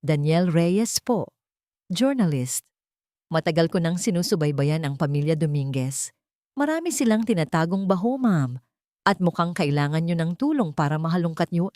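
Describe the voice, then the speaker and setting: A woman's voice: lowish voice, lively intonation, moderate pace, darkish tone, no room sound, studio quality, close to an everyday voice. A woman with a Filipino accent voicing an earnest, polite male journalist in an audio storybook. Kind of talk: audio storybook character acting.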